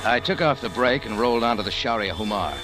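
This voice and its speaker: A man's voice: medium pitch, fast, close to an everyday voice. An actor playing a tough, wry private investigator, narrating his case in 1940s radio style. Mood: tense, narrating. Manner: hard-boiled, wry. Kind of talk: 1940s radio acting, first-person narration. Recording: old radio drama recording with music.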